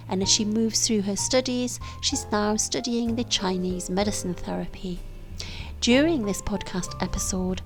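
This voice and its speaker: A woman hosting a podcast, reading a smooth scripted introduction. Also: mid-range voice. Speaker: podcast host.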